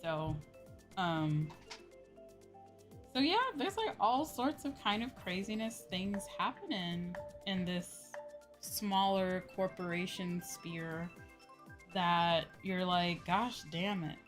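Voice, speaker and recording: A woman's voice: fairly low pitch, slow pace, soft background music. A woman streamer chatting casually and informally to viewers, musing aloud and circling back over her phrases. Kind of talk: casual chat while livestreaming a video game.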